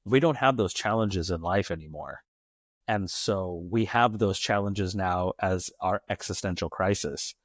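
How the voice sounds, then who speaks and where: A man's voice: low-pitched, unhurried, dry recording, clean studio sound. An American man speaking as a podcast guest, telling stories in an emphatic, philosophical way and building his points in long runs. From United States. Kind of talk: reflective conversational storytelling.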